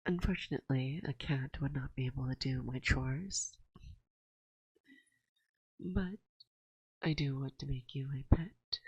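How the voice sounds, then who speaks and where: Woman acting a teasing forest witch, very breathy soft speech and whispers, unhurried, gently dominant, close ASMR roleplay. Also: rumbling low, no room sound, dull audio, heavily acted. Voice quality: breathy, hushed, often whispering. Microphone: close binaural microphone.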